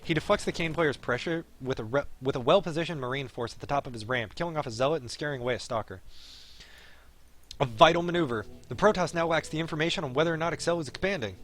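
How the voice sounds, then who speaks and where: A man's voice: on the high side, fast, a bit bright. A man reading a visual novel aloud on a stream, in dramatic narration with an esports commentary flavour. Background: faint game music.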